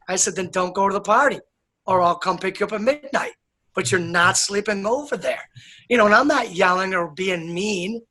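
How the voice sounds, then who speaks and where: A man speaking as an interview guest, calm and earnest, laying down his points firmly. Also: sits high. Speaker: guest, author and educator. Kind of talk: casual interview chat.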